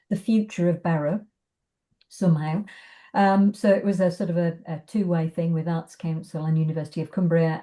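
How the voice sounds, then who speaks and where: A woman with a fairly low, soft-edged, relaxed voice talks casually as an interview guest. She is chatty and hesitant, often feeling for the next phrase as she speaks. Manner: hesitant, chatty, thinking aloud. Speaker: arts organisation guest.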